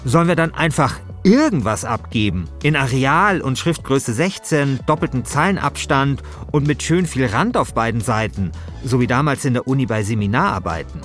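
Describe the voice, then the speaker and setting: A man's voice: medium pitch, lively intonation, moderate pace, hardly any pauses, a bit bright. A man narrating a scripted first-person story as podcast host, in speech with a wry, dramatizing manner. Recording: music behind the voice. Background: music.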